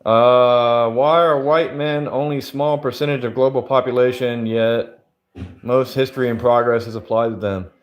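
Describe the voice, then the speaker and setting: A man's voice: medium pitch, steady tone, normal speed. Rambling man chatting casually on stream. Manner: rambling, loose, many "like" fillers. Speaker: livestreamer answering chat. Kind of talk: casual chat.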